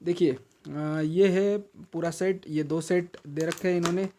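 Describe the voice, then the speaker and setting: A man's voice: on the high side, steady tone, measured pace, warm tone, slight background noise. A man with an Indian accent giving a casual, hesitant unboxing explanation as a video host, instructing and repeating his reminders. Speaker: video host and product reviewer.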